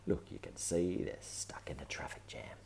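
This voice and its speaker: A man reading a children's picture book aloud, with gentle, often whispered asides. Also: moderate pace.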